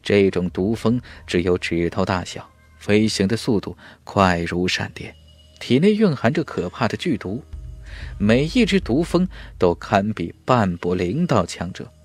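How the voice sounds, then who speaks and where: A man's voice: on the low side, very animated, measured pace, no room sound, faint music behind. A man narrating a fantasy novel as an audiobook in an emphatic, dramatic storytelling manner.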